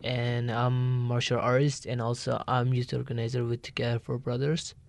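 Halting teenage man. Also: mid-range voice, completely flat delivery, vocal fry, no room sound. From Afghanistan. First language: not English. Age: teens. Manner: halting, many fillers, simple phrasing. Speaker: youth organizer guest. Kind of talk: radio interview.

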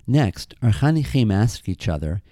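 A man's voice: lowish voice, very animated, normal speed, dark tone, dry recording, clean studio sound. A man hosting a camp podcast, reading scripted narration between segments. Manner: reads from a script.

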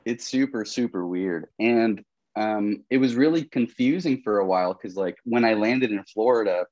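A man's voice: lowish voice, normal speed, no room sound, muffled recording. A young man from Colombia, a podcast guest talking casually, with lots of "like" and "you know" fillers. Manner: relaxed, rambling, many fillers like "like" and "you know". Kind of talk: casual conversational interview.

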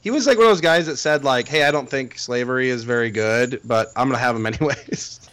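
A man co-hosting a podcast in casual banter, talking loudly with halting restarts and frequent laughs. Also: medium pitch. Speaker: podcast co-host.

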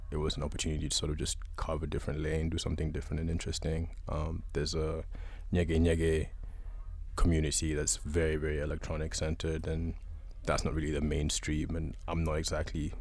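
A young adult man from Uganda, a guest musician answering interview questions in a relaxed, thoughtful way with many pauses. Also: rumbling low, gravelly, no room sound.

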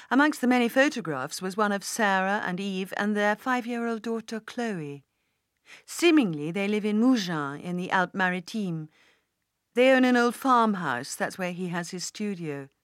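A woman narrator plays a decisive businesswoman in an audiobook. She speaks with crisp assurance and a disdainful edge. The sound is close and dry. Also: mid-range voice, dry recording, lightly acted. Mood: measured. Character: poised, decisive businesswoman heir, firm and disdainful. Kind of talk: audiobook character dialogue.

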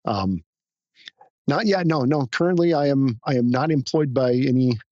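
A hoarse man who is a guest on a podcast, chatting modestly with frequent restarts. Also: mid-range voice, expressive, normal speed, warm tone, no room sound, highs cut off. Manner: modest, hesitant, many restarts. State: hoarse, recovering from illness. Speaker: podcast guest, hobby photographer. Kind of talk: casual interview chat.

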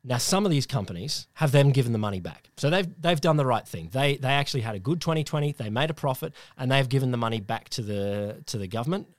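Australian male podcast co-host, rambling and sardonic, mid pitch, dry recording. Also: expressive, fast talker, few pauses, dry recording. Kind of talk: casual political chat.

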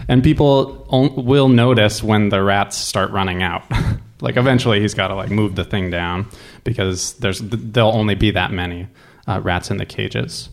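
A young man telling a personal story casually, in a rambling, halting but animated way. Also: lowish voice.

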